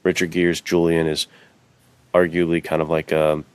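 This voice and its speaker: A man's voice: deep voice, monotone, moderate pace, smooth, no echo. A man co-hosting a podcast, chatting informally and keenly in a conversational way. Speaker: podcast co-host. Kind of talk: casual podcast chat about film and menswear.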